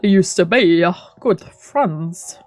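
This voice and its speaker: A woman's voice: on the low side, lively intonation, takes it slowly. A woman streamer reading a visual novel aloud, stumbling over lines now and then and breaking into playful, casual asides. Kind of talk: let's play reading with casual reactions. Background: faint game music.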